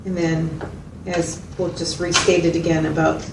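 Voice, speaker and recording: A woman's voice: low-pitched, flat delivery, moderate pace, gravelly. A woman playing a patient, methodical mental health clinician in a role-played consultation, explaining and checking understanding with questions. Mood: patient, explanatory. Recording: echoey, distant mic, noisy room.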